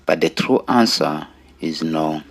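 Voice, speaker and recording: Man, forties, Rwandan accent, deep and unhurried, earnest testimony delivery. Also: creaky. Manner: earnest, deliberate, with pauses between short phrases. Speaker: storyteller sharing own life story. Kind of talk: personal testimony.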